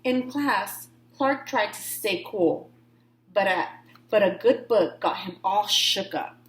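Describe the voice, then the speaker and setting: A woman's voice: mid-range voice, animated, takes it slowly, echoey room. A woman reading a picture book aloud to children, giving key words dramatic emphasis and adding informal asides. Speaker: read-aloud storyteller.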